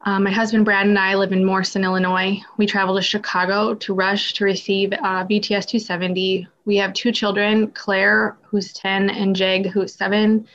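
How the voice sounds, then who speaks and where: A woman's voice: mid-range voice, level tone, normal speed, hardly any pauses, a bit bright. A woman, a parent on a panel, giving plain facts about her family. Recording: dull lo-fi audio.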